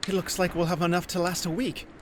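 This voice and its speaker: A man's voice: high-pitched, flat delivery, fast talker, a little hiss or hum, natural voice. A man playing an earnest, dreamy young boy in an audio drama.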